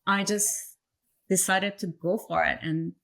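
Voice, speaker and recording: A woman from Iran, a coach for parents of sensitive children, answering interview questions in a thoughtful way with many pauses and "um"s. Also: fairly low pitch, steady tone, gravelly, a bit bright.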